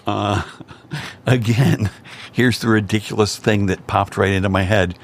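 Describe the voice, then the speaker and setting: A man's voice: fairly low pitch, fairly even intonation, measured pace, vocal fry. A man from Cincinnati, a guest in casual chat, joking in a dry, self-deprecating way. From Cincinnati, Ohio.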